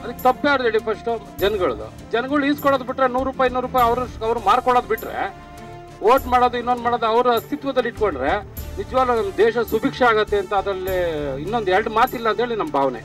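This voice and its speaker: Male vox-pop speaker, quick and high-voiced, arguing with rhetorical questions. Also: a bit bright, faint music behind. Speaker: member of public interviewed. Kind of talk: street interview opinion.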